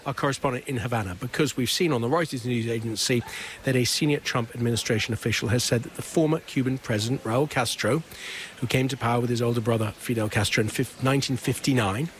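A man hosts live radio news from a busy newsroom desk, speaking in an easy, conversational way with a few "uh"s between phrases. His voice sits at a middle pitch, with a faint newsroom hum behind him. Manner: conversational, with frequent fillers. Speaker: news presenter. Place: broadcast newsroom studio. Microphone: studio microphone.

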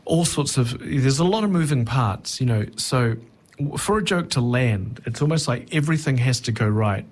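A middle-aged man talking reflectively as an interview guest, thoughtful and halting, often rephrasing mid-sentence. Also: mid-range voice, lively intonation, slightly rough. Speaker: touring stand-up comedian, interview guest.

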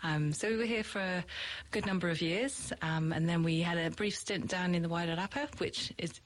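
A woman's voice: on the low side, moderate pace. A middle-aged woman from the UK with a British accent talks easily about her teaching work. She fills her sentences with ums and laughs often. Her voice sits a little back from the microphone. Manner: chatty, with frequent laughs and ums. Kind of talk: podcast interview.

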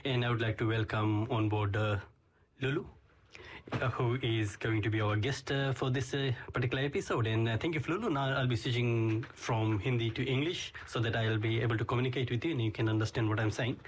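A middle-aged man hosting a community radio interview in a studio, talking slowly with many filler pauses. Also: mid-range voice, steady tone. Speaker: radio program director and host.

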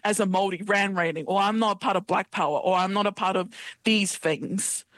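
A woman's voice: medium pitch, a bit bright, no echo. Earnest young New Zealand woman. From South Auckland, New Zealand. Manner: earnest and reflective, halting with many restarts. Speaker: guest, young writer. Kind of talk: casual conversational interview.

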